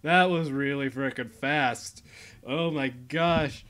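A man's voice: mid-range voice, takes it slowly, fairly bright tone. A young man giving rambling, mock-boastful commentary while playing a game at his computer. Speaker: YouTuber. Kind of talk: casual gameplay commentary.

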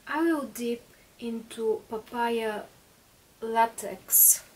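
A woman's voice: on the high side, very slow, a little mellow, recorded from a distance, rough recording. A woman in her thirties, a native Bulgarian speaker, chatting through a makeup tutorial in a small bedroom with lots of fillers and restarts. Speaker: beauty vlogger.

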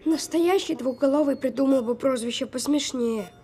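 A woman as a high-voiced sarcastic sick boy. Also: moderate pace, warm tone, a little hiss or hum, lightly acted. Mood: sarcastic, weary. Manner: dry, know-it-all. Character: sick twelve-year-old boy, sceptical, sarcastic, precocious. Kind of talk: audio drama acting.

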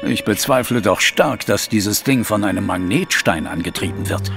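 An actor playing a sceptical, grumbling police inspector in an audio drama, with a gravelly, husky voice and a blunt, doubting manner. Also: male voice, on the low side, expressive, moderate pace, over music.

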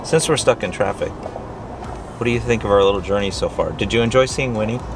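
A man's voice: fairly low pitch, fast. Middle-aged man, casual road-trip vlog, teasing banter, in a car, music behind. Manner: chatty, teasing, laughs often. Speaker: vlogger. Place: inside a car, partly outdoors by a highway.

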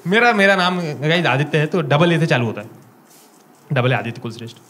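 A young man with an Indian accent doing a stand-up comedy set in a small club, telling stories with animated acted-out dialogue into a handheld mic. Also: a little high, a little fast, heavy background noise. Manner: animated storytelling, acting out dialogue. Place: small comedy club stage before a live audience. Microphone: handheld stage mic.

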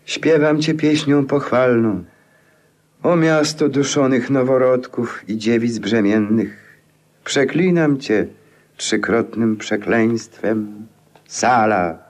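Grandiose night-wandering eccentric, moonstruck, declamatory, unhurried delivery, middling pitch, old radio drama. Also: male voice. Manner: theatrical, declamatory. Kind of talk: 1950s radio play acting.

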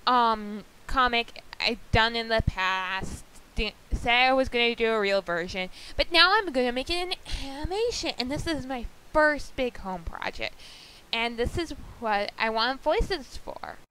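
A woman with a clear, fairly high voice gives a casual video update. She speaks slowly and haltingly, often pausing partway through a sentence. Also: a bit bright, poor audio. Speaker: amateur animator presenting a voice audition call.

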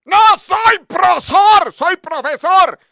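A man's voice: very high-pitched, lively intonation, slow, creaky, sharp tone, no echo, shouting, studio quality, pitched above the performer's own voice, full character voice. A man playing an irate, bullying schoolteacher with a Bolivian accent in a comic sketch, scolding and drawing out exasperated words. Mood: furious. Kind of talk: comic monologue, classroom sketch.

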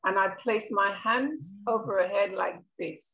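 Gentle daughter with healing hands, middle-aged woman reader; slow, thoughtful stage monologue. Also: mid-range voice, low-quality recording. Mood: reflective. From Caribbean. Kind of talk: rehearsed play monologue reading. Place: kitchen at home, video call. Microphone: headset.

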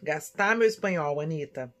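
A woman in her forties from São Paulo with a Brazilian accent, chatting casually and affectionately as she answers viewers' questions in an expressive, conversational way. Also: deep voice, normal speed. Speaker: vlogger. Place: small indoor room at home.

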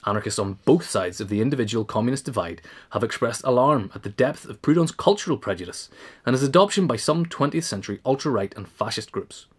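A man's voice: mid-range voice, expressive, fast, husky. A man reading scripted narration, as in an audio essay. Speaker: reader.

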